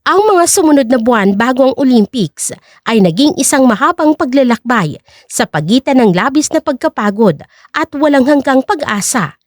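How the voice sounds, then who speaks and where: A woman's voice: high voice, expressive, moderate pace, no echo, clean studio sound. A woman narrator reading a scripted story in a dramatic storytelling style.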